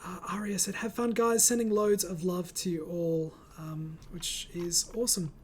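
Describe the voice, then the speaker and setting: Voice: mid-range voice, steady tone, moderate pace, crowd noise. A host of a casual online group chat, reading out comments and speaking in an encouraging, reassuring way over a voice call.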